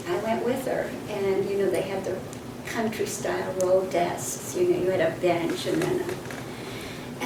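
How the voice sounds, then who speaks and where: A woman in her sixties, born in Poland with Polish as her first language, telling a halting, reflective childhood story in an interview. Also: medium pitch. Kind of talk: oral history recollection. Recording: echoey room, distant mic, background noise.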